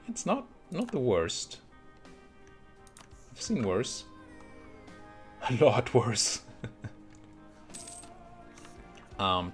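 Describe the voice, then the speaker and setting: A man giving casual let's play commentary on a strategy game, thinking aloud and muttering to himself as he goes. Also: low-pitched. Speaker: video game streamer playing a strategy game.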